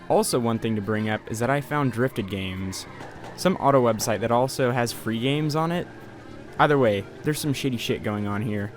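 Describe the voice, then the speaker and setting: A young man doing a casual voice-over game review, sarcastic and profane. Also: mid-range voice. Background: faint music.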